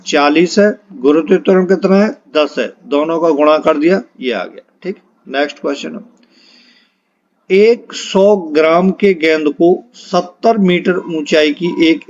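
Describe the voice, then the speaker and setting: A male exam-prep teacher with a fairly high voice walks through problems step by step. He asks a question, answers it himself and repeats the key phrase, all at a very unhurried pace. The recording is a little dull. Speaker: physics teacher for exam preparation. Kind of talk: step-by-step problem-solving lesson.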